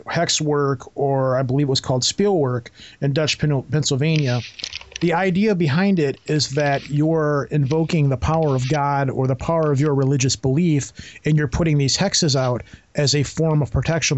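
A man's voice: fairly high pitch, normal speed, no echo. Rambling man on a lo-fi podcast. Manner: rambling, restarts sentences, laughs easily. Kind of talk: casual chat. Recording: dull lo-fi sound.

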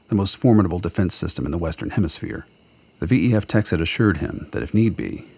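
Male narrator, very deep voice, husky, measured, wry, scripted dramatic storytelling.

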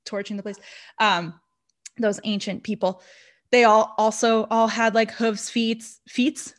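A woman from Brazil, a podcast co-host, chatting about a horror movie with lots of fillers and laughter. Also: on the high side. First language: Portuguese. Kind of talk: casual movie review chat.

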